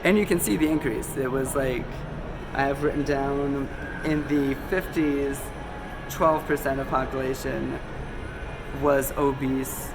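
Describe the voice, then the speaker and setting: A man's voice: mid-range voice, steady tone, unhurried, music in the background, poor audio. A man hosting a conspiracy video, talking casually with lots of ums, a little sick and losing his voice. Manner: loose, chatty, many ums and self-corrections. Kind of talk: casual rambling talk to camera. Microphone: phone camera.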